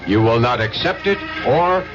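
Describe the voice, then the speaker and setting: A man's voice: mid-range voice, lively intonation, normal speed, music in the background, close to an everyday voice. An actor playing a self-assured, helpful male advisor in a radio advertisement, courteous and instructive. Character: helpful, self-assured consumer bureau advice man. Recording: dull, noisy vintage radio recording.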